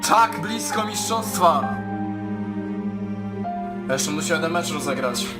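A man doing excited live gameplay commentary, full of exclamations and repeated cries. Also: fairly high pitch, takes it slowly, over loud music. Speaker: gaming YouTuber.

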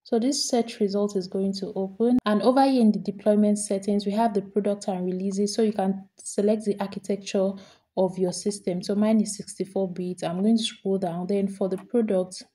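A woman talking through a screen-recorded software tutorial, step by step, repeating each action as she does it. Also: medium pitch, steady tone, normal speed. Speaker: tutorial host.

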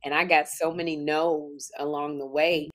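A woman in her fifties with a low, deep voice talks in a lively, casual way. She tells her stories with energy and slips into acted-out quotes as she goes. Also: normal speed. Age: 50s. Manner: animated storytelling, acts out quotes. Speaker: podcast co-host. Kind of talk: casual faith-filled chat.

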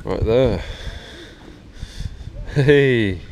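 A man's voice: medium pitch, drawn-out words. A middle-aged man chatting casually to himself as he digs up finds with a metal detector on a beach, recorded on a head-mounted action camera. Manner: chatty, upbeat, talks to himself while searching. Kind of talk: casual commentary while digging. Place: windy sandy beach outdoors. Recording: loud wind buffeting an action camera mic. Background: loud wind noise.

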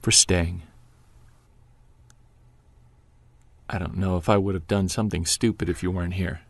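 A man playing a distraught, defensive estranged husband in an audio drama, halting and agitated. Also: low-pitched, fairly even intonation, creaky, dry recording. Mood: grieving, subdued.

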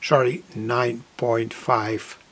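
A middle-aged man with a gravelly, husky voice giving an informal, halting technical explanation as a hobbyist presenter. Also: medium pitch, flat delivery, fairly bright tone. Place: indoor home electronics workbench.

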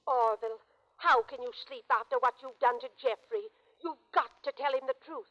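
An actress playing a bossy, insistent aunt in a vintage radio comedy, with a scolding manner. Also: female voice, high voice, brisk, a bit bright. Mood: scolding, urgent. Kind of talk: 1940s radio comedy acting. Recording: muffled old radio broadcast, music behind.

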